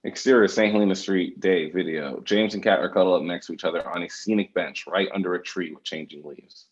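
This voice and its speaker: A man's voice: deep voice, a little fast, muffled recording. A man, the writer, reading out stage directions as narration at a script table read.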